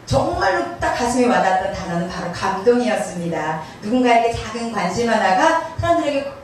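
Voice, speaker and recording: Female show host, from Gangwon Province, higher-pitched voice, live event presenting, faint background noise. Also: sing-song, a little fast. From Gangwon Province, South Korea. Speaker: host of a lecture talk show. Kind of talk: live broadcast hosting. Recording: very echoey distant room, faint noise.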